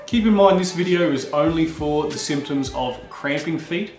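A man in his thirties hosting a ski gear tutorial, talking straight to camera in a casual, energetic way. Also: fairly high pitch, normal speed. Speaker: host. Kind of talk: upbeat instructional vlog. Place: small indoor room with skis on wall. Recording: roomy echo with faint music.

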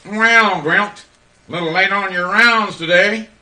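A man's voice: high voice, unhurried, over loud music, low-quality recording. A man playing an officious, nitpicking postal boss in a radio play, with a pompous delivery. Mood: reproving. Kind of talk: radio theater acting.

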